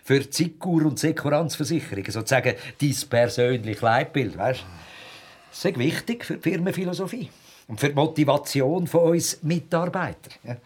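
A husky, animated office gossip with a Swiss accent in a radio play. He rambles on jovially and mockingly, his pitch swinging freely. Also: moderate pace. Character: chatty, gossiping, sarcastic male office colleague. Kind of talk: radio drama acting in casual chat.

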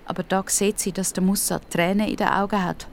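A woman's voice: medium pitch, fairly even intonation, brisk, gravelly, a little hiss or hum. A woman with a Swiss accent narrating a scripted audio story for children.

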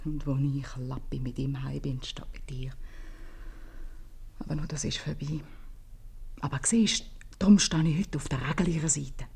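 Husky, low-voiced Swiss innkeeper's wife. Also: female voice, steady tone, moderate pace, long pauses, a little mellow, exaggerated voice. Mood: wistful, firm. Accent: Swiss German. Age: middle-aged. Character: worried, plain-spoken innkeeper's wife, mother of a runaway daughter. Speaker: actress in a radio play. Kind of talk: 1950s Swiss German radio comedy acting.

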